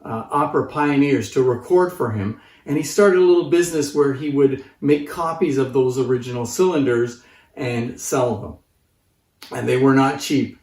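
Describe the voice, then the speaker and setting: A man's voice: medium pitch, normal speed, darkish tone. A man in his fifties presenting informally to camera as a collector, explaining things in a conversational way. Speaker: presenter and collector of antique phonographs. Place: living room, seated on a sofa. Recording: roomy, distant microphone.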